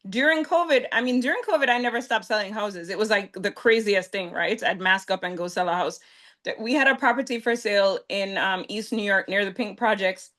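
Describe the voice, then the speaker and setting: A woman's voice: medium pitch, brisk, a bit bright. Conversational woman realtor on a video call. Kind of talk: webinar panel talk.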